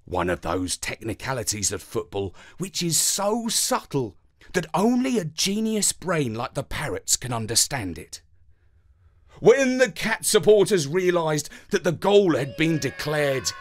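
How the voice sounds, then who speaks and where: A man's voice: on the high side, very animated, measured pace. A man reading a children's story in a dramatic, playful way, as scripted narration.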